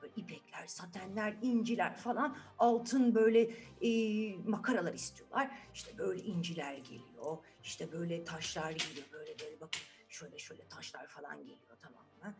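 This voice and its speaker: A woman telling a fairy tale to children in an animated, conversational way, checking in with her listeners. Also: medium pitch. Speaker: children's storyteller.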